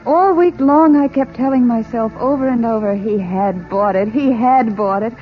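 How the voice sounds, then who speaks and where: A woman acting a yearning housewife in an old radio drama, intense and theatrical, with deliberate dramatic pauses, on an old recording. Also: a little high, lo-fi sound, faint music behind, close to an everyday voice. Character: yearning, long-deprived housewife fixed on a bracelet. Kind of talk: 1940s radio acting, dramatic first-person narration.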